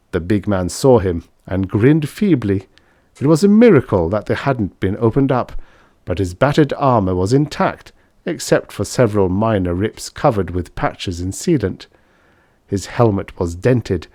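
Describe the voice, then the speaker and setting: A man narrates vintage military science fiction from a script. His voice sits low, and the sound is dry, with no room around it. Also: warm tone, dry recording. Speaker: audiobook narrator.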